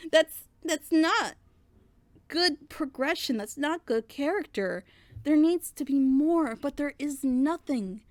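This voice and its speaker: A woman with a high-pitched voice gives a casual, opinionated review. She rambles through her explanations emphatically, leaning on rhetorical questions. The sound is dry, with hardly any room echo. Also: lively intonation, slow, pauses often. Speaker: reviewer on a reaction show.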